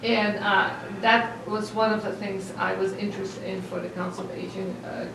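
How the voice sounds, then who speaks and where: Gravelly woman, far off in an echoey room. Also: medium pitch, level tone, noisy background. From the Netherlands. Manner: halting, with many fillers and soft laughs. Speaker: new council member. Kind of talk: self-introduction at a meeting. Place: town meeting room.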